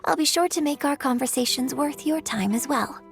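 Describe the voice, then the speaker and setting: A woman's voice: sits high, a little fast, creaky, faint music behind. A woman playing a refined, courteous young opera performer in a video game, speaking with polite, formal, elegant phrasing. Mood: curious, polite. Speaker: game character voice. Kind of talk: scripted video game dialogue.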